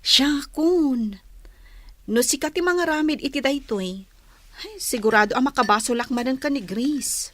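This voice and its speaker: An actress playing a meek, self-pitying young office worker in an Ilocano radio drama, hesitant and apologetic. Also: female voice, high voice, a little slow, a bit bright, slight background noise. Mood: submissive.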